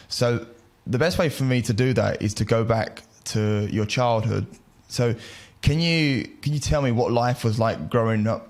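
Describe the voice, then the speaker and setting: Male podcast host, low voice, asking questions. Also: darkish tone. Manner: friendly, curious questioning. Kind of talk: conversational interviewing.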